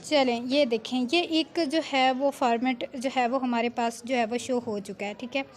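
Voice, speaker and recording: A woman giving an informal software tutorial, explaining step by step with frequent check-in tags. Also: high-pitched, steady tone, normal speed. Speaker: video editing instructor.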